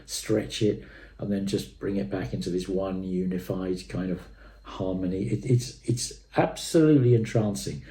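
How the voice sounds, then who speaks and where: A man with a low, mellow voice speaks in a roomy, reverberant space. He delivers an off-the-cuff review full of enthusiasm, leaning on repeated words for emphasis. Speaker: music and tape reviewer. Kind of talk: enthusiastic unscripted review monologue. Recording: echoey room sound.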